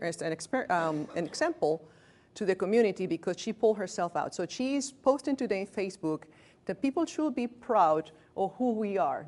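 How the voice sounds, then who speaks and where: A woman's voice: medium pitch, darkish tone. A woman in her late thirties from Venezuela with a Venezuelan Spanish accent. She is a physician giving a training talk to a small group in a room, candid and self-deprecating with joking asides, and she often restarts words. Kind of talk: lecture to a workplace group.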